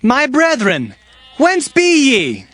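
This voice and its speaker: A man's voice: very high-pitched, huge pitch swings, slow pace, fairly bright tone, heavily acted. A man playing an earnest young biblical traveler, declaiming in a dramatized scripture reading. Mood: eager inquiry. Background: music.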